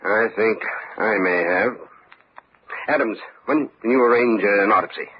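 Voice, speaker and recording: Man as a laconic, low-voiced police lieutenant. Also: moderate pace, lightly acted. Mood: measured, businesslike. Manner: laconic, matter-of-fact. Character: steady, businesslike male police lieutenant investigating murder. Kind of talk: vintage radio mystery acting. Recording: dull, noisy old radio recording.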